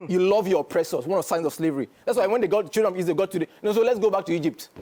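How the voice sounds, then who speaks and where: Nigerian male guest, high-pitched, mellow tone, rapid and preachy delivery. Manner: emphatic, lecturing, keeps asking "do you understand?". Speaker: guest commentator. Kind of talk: studio interview.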